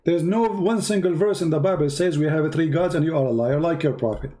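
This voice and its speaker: A man's voice: on the high side, a little fast, warm tone. A man whose first language is Arabic, hosting a heated religious debate, mocking and insulting the other side and hammering the same demands again and again. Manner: hammers the same question over and over. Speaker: debate host.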